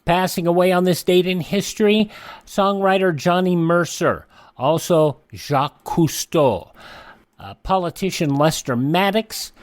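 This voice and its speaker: A man hosting a radio show, reading a this-day-in-history rundown with offhand asides and quick self-corrections. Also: high voice, dry recording. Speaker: radio host.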